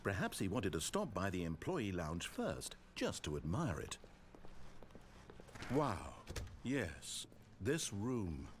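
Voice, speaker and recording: A man narrating a video game in a measured, wry, theatrical storytelling manner over game music. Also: deep voice, wildly swooping pitch, a little hiss or hum, exaggerated voice.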